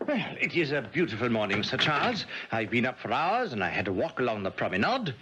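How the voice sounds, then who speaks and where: A man's voice: medium pitch, sing-song, a little fast, dull audio, close to an everyday voice. An actor playing a cautious, deferential male secretary to a rich employer in a 1970s radio drama. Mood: breezy, apologetic. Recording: slightly hissy 1970s radio recording.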